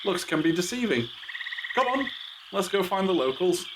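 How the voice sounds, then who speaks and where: An actor in an audio drama playing an ancient, whimsical time traveler who is curious and reassuring. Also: male voice, high-pitched, very animated, normal speed. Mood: musing, curious. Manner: musing, playful, reassuring. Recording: very noisy, hissy backdrop.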